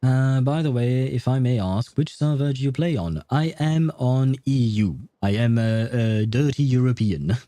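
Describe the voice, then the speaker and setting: Male streamer, relaxed dark tone, medium pitch, rambling casual chat with viewers. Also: a little slow, hardly any pauses, no echo. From France. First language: French. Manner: rambling, chatty, answers chat as he goes. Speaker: game livestreamer. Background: faint game music.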